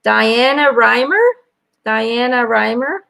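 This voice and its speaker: Chatty, gravelly-voiced Belgian woman reading cards. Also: on the high side, lively intonation, takes it slowly, a bit bright. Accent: American-sounding English. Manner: chatty, hesitant, repeating names and phrases. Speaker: angel card reader. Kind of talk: live-stream card reading. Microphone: webcam.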